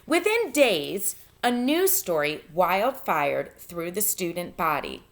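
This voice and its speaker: A woman teacher reading a novel aloud to students in an expressive, instructive way, pausing to give guidance. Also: on the low side, gravelly. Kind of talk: read-aloud lesson, narration with spoken instructions.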